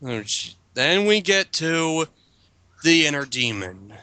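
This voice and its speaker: Bright-voiced, exasperated man in casual commentary. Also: fairly high pitch, sing-song, takes it slowly, smooth, no room sound. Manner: exasperated, sweary. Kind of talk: casual group commentary and reading aloud.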